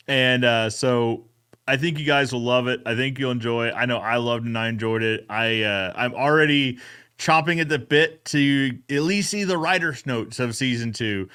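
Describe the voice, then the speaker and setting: A man's voice: medium pitch, bright, forceful tone. A man hosting a podcast recap in a casual, enthusiastic chatting style. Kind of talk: casual chat.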